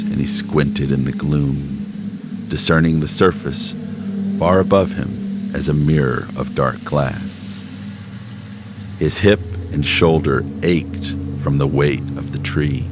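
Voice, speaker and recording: Very deep-voiced male story narrator. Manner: measured, unhurried storytelling with slowed phrases. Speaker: story reader. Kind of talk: scripted literary narration. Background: background score music.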